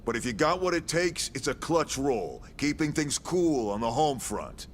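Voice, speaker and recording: Wry, animated military commander. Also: male voice, on the high side, moderate pace, a little hiss or hum. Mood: wry, expository. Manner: folksy, wry, sardonic. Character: gruff, wisecracking male military commander. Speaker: commanding officer briefing recruits. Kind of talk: video game cutscene acting.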